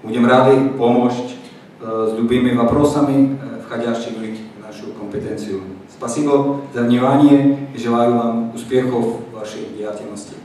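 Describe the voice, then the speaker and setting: Flat-voiced male official in a big hall. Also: medium pitch, normal speed, slight background noise. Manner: steady, flat delivery with many fillers. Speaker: embassy representative. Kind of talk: formal welcome speech. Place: large conference hall. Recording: echoey hall from far off.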